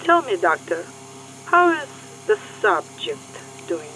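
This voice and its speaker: A woman playing a brisk KGB agent in a radio drama. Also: mid-range voice, big pitch swings, bright, forceful tone, slight background noise, heavily acted, rough recording. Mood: probing. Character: brisk female KGB mole questioning a scientist.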